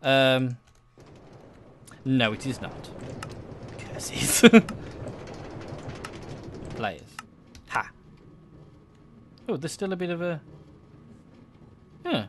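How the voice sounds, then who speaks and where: A man's voice: medium pitch, animated, very slow, long pauses, a bit bright, slight background noise. A young adult man giving casual, meandering gameplay commentary, often hesitating and interrupting himself. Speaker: gaming video host.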